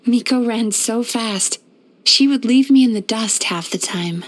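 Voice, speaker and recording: A woman voicing a gentle, wistful, centuries-old yokai healer in a video game, speaking softly in a measured, polite, reflective way. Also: on the high side. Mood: nostalgic, fond. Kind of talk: scripted video game character voice-over.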